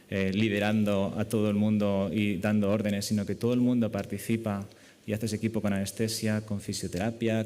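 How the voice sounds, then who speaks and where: Male surgeon from Spain, conference speaker, lowish relaxed voice, lecture-hall echo. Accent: Spanish from Spain. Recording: echoey lecture hall, long reverb.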